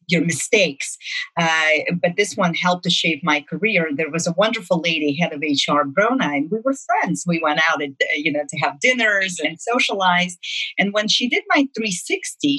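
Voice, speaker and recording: A woman's voice: fairly low pitch, sharp tone, slightly rough, low-quality recording. A middle-aged woman from Russia, speaking with a Russian accent, tells her career story in an interview with fluent, emphatic phrasing that repeats words for stress. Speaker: guest, marketing executive.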